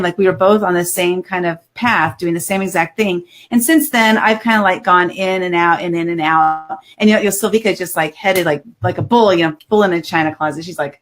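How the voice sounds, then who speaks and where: A woman hosting an interview over a video call, chatty and rambling, often laughing. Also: mid-range voice. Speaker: podcast host. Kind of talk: casual interview chat.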